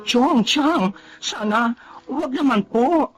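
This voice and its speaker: An actress playing a caring young woman relative in a radio drama, polite and gently worried. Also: high-pitched, expressive, slow, noisy background.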